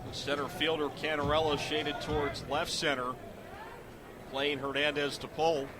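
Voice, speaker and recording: A middle-aged man calling baseball live on the radio as play-by-play announcer, in clipped descriptive phrases that rise into excited calls. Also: a little high, moderate pace, music in the background. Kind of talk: live radio sports commentary.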